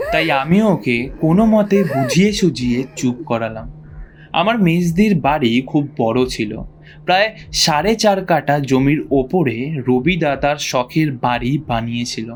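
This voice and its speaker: Higher-voiced man narrating a ghost story. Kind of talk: first-person scripted ghost story narration.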